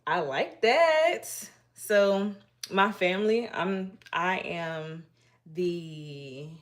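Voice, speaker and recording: A woman's voice: lowish voice, lively intonation, extremely slow. A middle-aged woman from Massachusetts, hosting a casual livestream and chatting with viewers in a shy, rambling way with many restarts. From Massachusetts, United States. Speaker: livestream host. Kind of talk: casual live chat with viewers.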